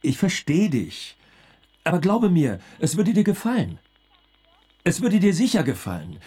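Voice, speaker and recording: An actress playing a spouse on a long-distance call in a radio drama, insistently repeating gentle persuasion. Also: female voice, on the low side, sing-song, a little slow, breathy edge, exaggerated voice. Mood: coaxing.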